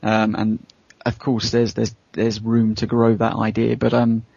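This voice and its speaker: A man's voice: lowish voice, monotone, a little slow, gravelly, dark tone, dry recording. A man talking casually on a discussion panel, hedging as he makes his points. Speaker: show regular. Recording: dull, lo-fi voice recording.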